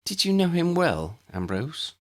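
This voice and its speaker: Man voicing a sensible, high-pitched young woman. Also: sing-song, unhurried. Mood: gentle.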